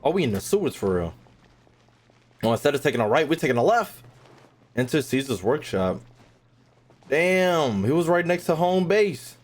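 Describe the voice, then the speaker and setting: A man's voice: a little high, big pitch swings, normal speed, pauses often. A man doing casual, slangy, joking live commentary as he streams a game.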